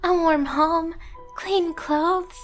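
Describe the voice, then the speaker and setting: A woman's voice: very high voice, steady tone, drawn-out words, faint music behind, heavily acted. A woman playing a timid, clumsy young catgirl pet who is eager to please, speaking in a meek, hesitant, apologetic way in an anime-style ASMR roleplay.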